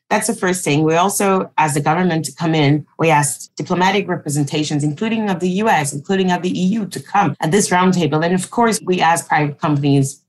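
A woman from Cameroon, explaining her advocacy work on a panel in a measured way, correcting herself now and then. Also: deep voice. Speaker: digital rights advocate. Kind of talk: panel discussion answer.

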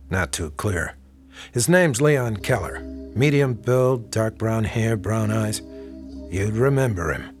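Middle-aged male detective: wry, probing, folksy, very varied intonation, radio drama with music underneath. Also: lowish voice. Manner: folksy, probing, casually insinuating.

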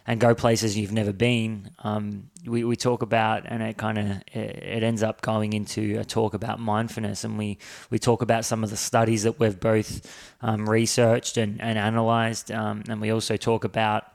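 A man hosting a podcast, introducing the episode with a sore throat and a hoarse voice, rambling with many fillers and restarts. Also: fairly low pitch, monotone, normal speed. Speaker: podcast host.

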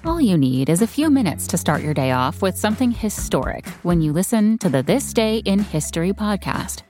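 A woman's voice: medium pitch, very animated, moderate pace, darkish tone. A woman reading an upbeat podcast promo. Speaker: ad announcer. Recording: promo with background music.

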